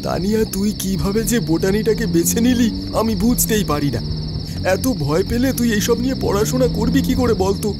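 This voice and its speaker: A man voicing a young male student, a teasing and questioning friend in an animated horror story. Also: high voice, a little fast, heavy background noise. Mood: teasing, doubting.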